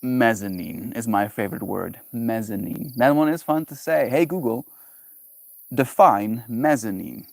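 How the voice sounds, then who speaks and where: A man's voice: mid-range voice, measured pace, gravelly, a little mellow, no echo. Playful man, dry sound. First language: Afrikaans. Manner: playful, musing aloud, savoring and repeating words. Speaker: livestreamer. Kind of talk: casual solo livestream chat.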